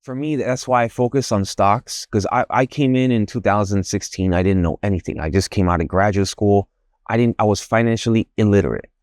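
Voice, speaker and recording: A man hosts a podcast and asks his questions in a halting, thinking-aloud way, restarting his phrases often. His low voice is recorded close and dry, with no room echo. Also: a little mellow. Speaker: podcast host. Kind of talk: podcast interview.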